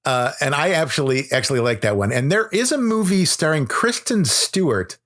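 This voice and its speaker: A man's voice: fairly high pitch, very animated, normal speed, a bit bright. A man talking at length as a podcast guest in casual interview chat, sharing opinions with a film buff's enthusiasm. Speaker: podcast guest, horror author.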